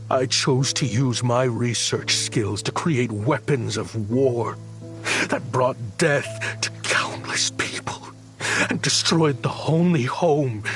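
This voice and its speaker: Guilt-laden game scientist, unhurried and grave, music faint under him. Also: male voice, mid-range voice, fairly bright tone. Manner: solemn, remorseful, measured. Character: guilt-ridden male weapons scientist. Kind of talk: video game voice acting.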